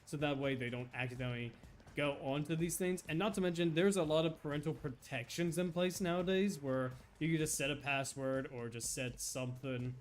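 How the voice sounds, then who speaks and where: A man's voice: on the high side, brisk. A man in his thirties chatting casually and earnestly while streaming a game, rambling a little with restarts and chuckles. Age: 30s. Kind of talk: casual live-stream chat.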